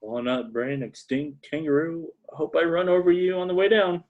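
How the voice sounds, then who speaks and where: A man's voice: a little high, steady tone, normal speed, phone-quality sound, close to an everyday voice. A man at an informal table read playing a frantic, irritable computer programmer who mutters exasperatedly to himself. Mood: annoyed, scornful.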